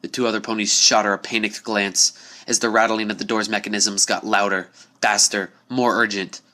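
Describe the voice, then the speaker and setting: A man's voice: fairly low pitch, level tone, normal speed, pushed and bright. A man giving a dramatic scripted narration of a spooky story. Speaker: story reader.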